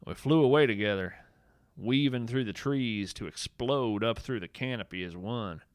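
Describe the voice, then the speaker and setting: A man narrating scripted fiction as a podcast host, with a measured, deliberate and expressive storytelling delivery. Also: mid-range voice, no echo, studio quality.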